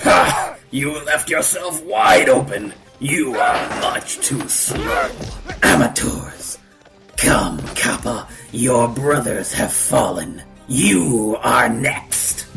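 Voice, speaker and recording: A man playing a menacing, arrogant older martial arts master, speaking with pompous, theatrical slowness and a gravelly edge in a fan-made voice comic. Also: mid-range voice, big pitch swings, over loud music, a loud crowd, exaggerated voice. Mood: taunting, furious. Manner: slow, pompous, theatrical threats.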